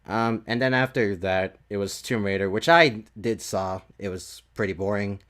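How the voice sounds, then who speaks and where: A man hosting a casual podcast chat, speaking in a hesitant, halting way and often repeating himself. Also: lowish voice, a bit bright. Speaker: podcast host.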